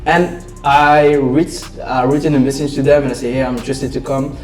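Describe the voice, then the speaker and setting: A man's voice: mid-range voice, steady tone, brisk, roomy sound, recorded from a distance, faint music behind. A man in his twenties from Belgium, whose first language is French, speaking English as a podcast guest and often searching for words. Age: 20s. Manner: searches for words, many restarts and fillers. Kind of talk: casual interview.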